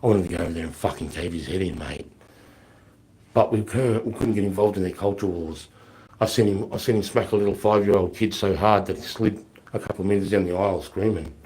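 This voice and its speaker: A man in his forties with a lowish voice that sounds slightly worn from a flu. He answers a live stream's questions in a loose, rambling way, blunt and full of swearing. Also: fairly quick, a little mellow, rough recording. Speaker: livestreamer answering viewer questions. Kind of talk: casual live Q&A chat.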